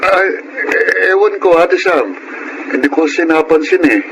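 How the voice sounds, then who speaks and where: With noise clearly behind him, a young Filipino guy talks in a fairly high male voice. He is an easygoing, slippery younger brother from a radio drama. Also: a little slow. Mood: evasive.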